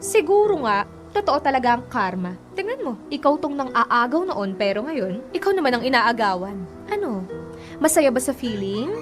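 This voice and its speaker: A Filipino actress playing a bitter, sarcastic young mistress out for revenge in a Tagalog radio drama. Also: female voice, high voice, big pitch swings, moderate pace, over music. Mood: angry, accusing. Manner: sarcastic, taunting.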